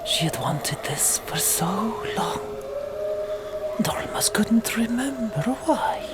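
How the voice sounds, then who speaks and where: A woman narrating an audiobook chapter in a soft, deliberate ASMR whisper. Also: mid-range voice, lively intonation. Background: loud music.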